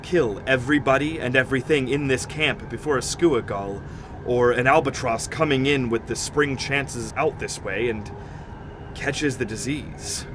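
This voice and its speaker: Grave scientist played by a man, noisy backdrop. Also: close to an everyday voice. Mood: somber, measured. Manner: measured, explanatory, serious. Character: level-headed, grave male polar expedition scientist. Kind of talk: audio drama acting. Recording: clear noisy rumble behind the voice.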